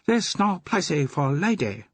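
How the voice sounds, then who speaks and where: A man's voice: on the high side, sing-song, unhurried, fairly bright tone, husky, pitched above the performer's own voice, full character voice. A man voicing a shifty, grudging male opium-den keeper with a Cockney twang and a sly, wheedling manner. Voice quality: put-on routine voice, sly and wheedling.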